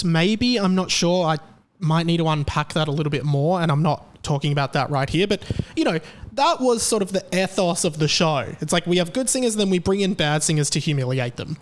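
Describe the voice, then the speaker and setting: Australian man, young adult, slightly high voice, sarcastic and chatty, solo podcast host. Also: animated, moderate pace. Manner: chatty and sarcastic, laughing at his own jokes. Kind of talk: casual commentary monologue.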